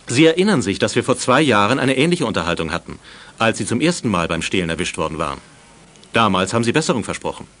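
A middle-aged director in a children's radio drama. He is stern yet fair and speaks formally and articulately. His delivery is quick and animated, with a lot of melodic movement. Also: mid-range voice. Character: stern but fair male director of a youth village. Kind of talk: 1980s German children's radio drama acting.

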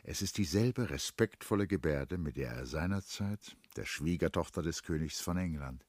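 Deep-voiced male radio play narrator. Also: expressive, normal speed, darkish tone, dry recording, studio quality.

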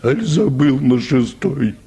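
An actor playing a stubborn, cheeky peasant choir bass in a Russian radio play, rustic, unhurried and mocking. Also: male voice, animated, vocal fry, mellow.

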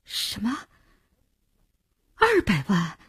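Woman as cold fed-up partner, biting sarcasm, very husky, mellow low-brightness tone, audiobook dialogue. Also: very animated, normal speed. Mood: startled suspicion. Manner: sneering, curt, biting.

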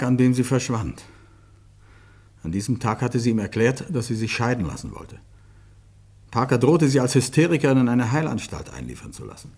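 A man's voice: medium pitch, a little mellow, slightly rough. A man playing a guarded, grieving suspect in a German radio crime play, speaking in a subdued, bitter way. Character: guarded, grief-stricken male suspect under police questioning. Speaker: actor in a radio crime drama.

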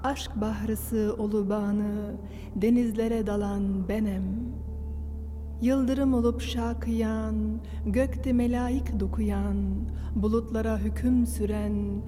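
Very dark, slow woman reciting poetry. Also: medium pitch, steady tone. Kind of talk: solemn Turkish poetry recitation. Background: music.

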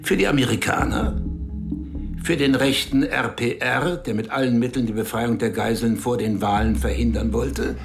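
An actor playing a calm, menacing male spymaster who questions a subordinate in a radio drama. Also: mid-range voice, normal speed, gravelly, close to an everyday voice. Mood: cold. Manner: calm, cold, interrogating. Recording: studio radio play with music behind.

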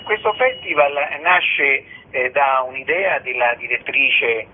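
A man giving interview answers as a festival director, haltingly, searching for his words. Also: mid-range voice, expressive. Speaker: theatre festival artistic director, radio guest. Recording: narrow phone line with background noise.

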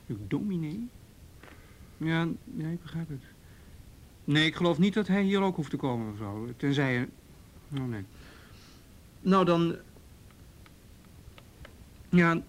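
A man's voice: fairly high pitch, natural voice. An actor playing a patient, courteous and slightly touchy policeman in a naturalistic radio drama.

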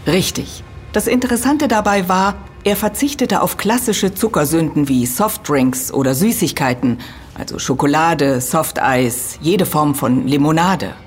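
Low-voiced, emphatic lecturing female professor. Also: unhurried, a little hiss or hum. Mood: matter-of-fact. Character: authoritative female professor, precise and patiently correcting. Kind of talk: German audio drama dialogue.

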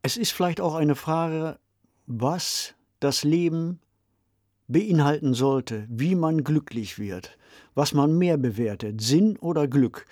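Measured man in a dry studio. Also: fairly high pitch, darkish tone, dry recording. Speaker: podcast co-host. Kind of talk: reflective discussion of a radio play.

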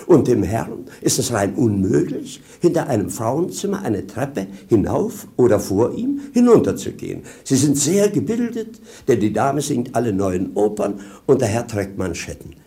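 An actor in a 1950s German radio play, voicing a roguish jester who mocks and plays the ironic fairground barker. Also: male voice, medium pitch, big pitch swings, moderate pace, hardly any pauses, warm tone. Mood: showman's relish. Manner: theatrical, ironic showman's patter. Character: roguish, mocking jester playing fairground barker, gleefully talking nonsense.

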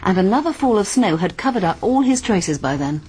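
A woman's voice: mid-range voice, sing-song, fairly quick, mellow, husky. An actress playing a keen, clever young woman sleuth with an English accent in a radio mystery drama. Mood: eager, explaining. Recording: faint background noise.

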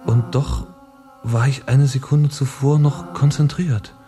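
A male actor as a sensitive young revolutionary in a serious radio play, anguished and confessional. His mid-pitched voice moves haltingly but intensely, with music clearly underneath. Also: steady tone, close to an everyday voice. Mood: anguished, confessing. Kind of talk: serious stage-like radio drama acting.

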